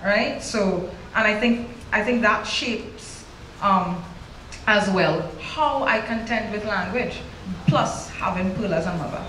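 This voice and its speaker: A woman's voice: medium pitch, slow pace, a bit bright. Trinidadian lady talking into a handheld mic, roomy hall, a bit of background noise. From Trinidad and Tobago. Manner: candid, tags lines with "right?". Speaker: author. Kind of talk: live onstage conversation. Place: stage before a large indoor audience.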